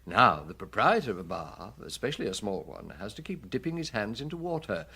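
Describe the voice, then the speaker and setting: Wry police detective, animated delivery. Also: male voice, fairly low pitch, creaky, breathy edge, heavily acted. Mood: drily teasing. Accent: British. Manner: wry, deadpan, deliberate. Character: dry, wry, unhurried male police detective chief. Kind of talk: radio drama acting.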